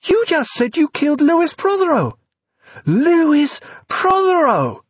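A man's voice: brisk, a little mellow, husky, dry recording, far from the mic. A man voicing a panicky young woman. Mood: panicked. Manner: raised pitch, rising to shrill cries. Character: frightened young woman, earnest and quick to panic. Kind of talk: audiobook character voice.

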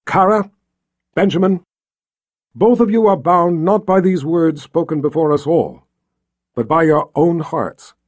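A man's voice: on the high side, unhurried, long pauses, pitched above the performer's own voice. A man voicing a proud, solemn lord in an audiobook, speaking in a ceremonial, emphatic, oratorical way as he officiates a wedding. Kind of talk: audiobook character acting.

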